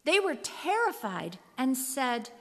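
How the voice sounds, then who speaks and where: A woman narrates scripture in a solemn, scripted style. Her pace is slow and her pitch a little high. The sound carries long reverb from a big hall. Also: sing-song, a bit bright.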